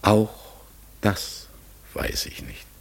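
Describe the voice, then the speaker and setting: An actor playing a talking raven in a radio play, polite, forgetful and melancholy, with a hoarse, breathy voice and a halting, searching way of speaking. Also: male voice. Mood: unsure, brooding. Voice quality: hoarse, gravelly, breathy, often dropping to a whisper. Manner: slow, halting, earnest, searching for words. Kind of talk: classic German radio play acting.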